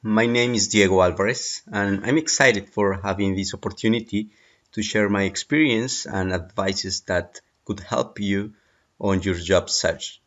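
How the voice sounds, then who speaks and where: A man in his thirties speaks English with a Colombian Spanish accent. His voice sits very low, and he talks in a measured way with frequent "eh" hesitations. The recording sounds dull and lo-fi. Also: fairly even intonation, fairly bright tone. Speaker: migrant sharing job search advice. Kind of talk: prepared personal talk.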